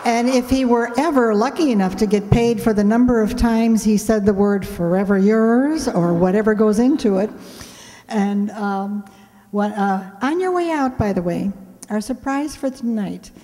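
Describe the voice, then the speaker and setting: A woman's voice: on the high side, warm tone. A woman hosting a show, making chatty, folksy, joking announcements to an audience in a casual way. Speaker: radio play director and show host. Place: indoor hall, live stage event. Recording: reverberant hall.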